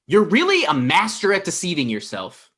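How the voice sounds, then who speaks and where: Man playing an irritable guy, very animated pitch. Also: normal speed, husky, heavily acted. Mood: argumentative. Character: irritable, paranoid, sarcastic man. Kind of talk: table read acting.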